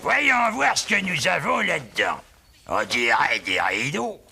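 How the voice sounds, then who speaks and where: An actor playing a sly, greedy dealer in stolen goods, gravelly and exaggerated, in a cartoon dub. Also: male voice, a little high, very animated, measured pace, pushed and bright, exaggerated voice. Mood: nosy, sly.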